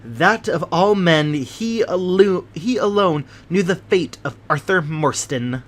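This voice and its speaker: A man doing a fussy, nervous gentleman. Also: high voice, expressive, slow, full character voice. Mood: anxious. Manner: prim, precious and fretful. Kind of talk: audiobook character voice.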